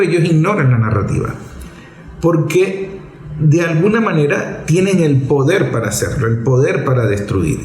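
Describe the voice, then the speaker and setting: A man's voice: fairly high pitch, a little mellow. A Venezuelan man hosting a political programme, giving off-the-cuff commentary in a didactic, emphatic way. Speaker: host of a political opinion programme. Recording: roomy echo on the voice.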